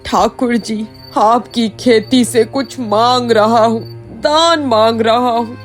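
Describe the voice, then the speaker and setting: Woman playing a slow-spoken, pleading poor villager man. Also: a little high, steady tone, faint music behind. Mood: earnest pleading. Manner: pleading, respectful.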